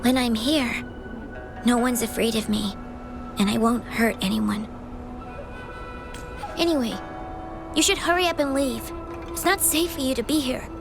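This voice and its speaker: A woman's voice: fairly high pitch, measured pace, creaky, pauses often, over music. An actress playing a lonely, guarded young girl in a video game, speaking earnestly. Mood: subdued, lonely.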